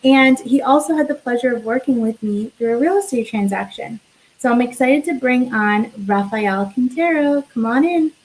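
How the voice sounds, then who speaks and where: A woman's voice: a little high, moderate pace, poor audio. A woman hosting an interview over a video call, enthusiastic and bubbly as she introduces and questions her guest. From Westchester, New York, USA. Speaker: host.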